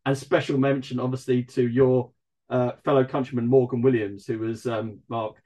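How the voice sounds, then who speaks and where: A man's voice: medium pitch, level tone, normal speed, mellow, slight background noise. A man in casual football chat, speaking effusively and gushing with praise for the players.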